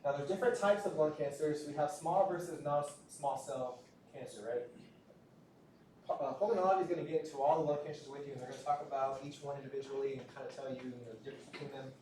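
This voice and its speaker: A man lecturing in a relaxed, conversational way, circling back over his words and checking in with the class as he goes. His voice sits a little high and sounds set back from the mic. The room rings with a strong echo under a faint hiss. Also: fast. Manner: conversational, repeats words, frequent "right?" checks. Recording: roomy, distant lecture-hall recording with faint noise.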